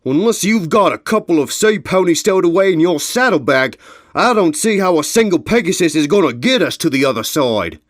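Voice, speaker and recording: A man voicing a gruff, sarcastic male pony worker in an audio story, scornful and grumbling. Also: medium pitch, big pitch swings, slow pace, no echo, studio quality. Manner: barking, sarcastic.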